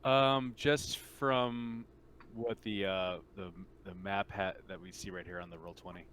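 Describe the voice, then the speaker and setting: A man running a tabletop game as game master, narrating casually in halting, hesitant phrases over online play. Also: deep voice, steady tone, fairly bright tone, a little hiss or hum.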